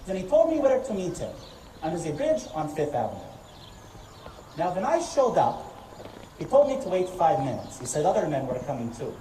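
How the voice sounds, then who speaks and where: A woman's voice: low voice, huge pitch swings, normal speed, warm tone, slight background noise. A woman playing a male Russian immigrant millwright, telling his story in a scripted historical monologue. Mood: recounting. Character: Russian immigrant man, millwright and driver, recounting events. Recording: roomy, distant microphone. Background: music.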